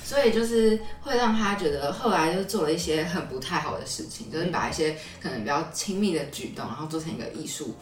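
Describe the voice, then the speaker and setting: A woman's voice: low-pitched, animated, quick pace, hardly any pauses, recorded from a distance. A young woman with a Taiwanese Mandarin accent, a podcast host telling stories in a casual, explanatory way. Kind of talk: casual conversational storytelling. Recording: roomy echo, off-mic, music underneath.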